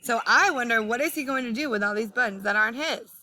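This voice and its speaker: Bright-voiced woman reading a children's story. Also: on the high side, quick pace. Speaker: read-aloud teacher. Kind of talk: children's storybook read-aloud.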